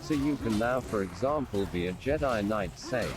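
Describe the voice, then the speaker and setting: A man's voice: on the low side, lively intonation, normal speed. A synthetic man's voice reading out stream chat messages as text-to-speech.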